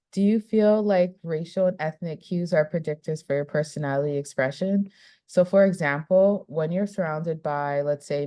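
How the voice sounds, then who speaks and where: A young woman hosts a podcast interview, reading out study summaries in a measured way and then asking friendly questions. Her voice sits low for a woman, with a dark, mellow tone. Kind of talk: podcast interview, partly read from a script.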